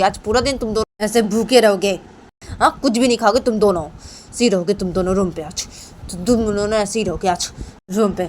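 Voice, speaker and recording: A stern older mother-in-law acted by a woman storyteller scolds in a hectoring, repetitive way, snapping short questions between phrases. Her pitch is mid-range, with faint vehicle noise behind. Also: lightly acted. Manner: hectoring, repetitive, punctuated by sharp questioning tags.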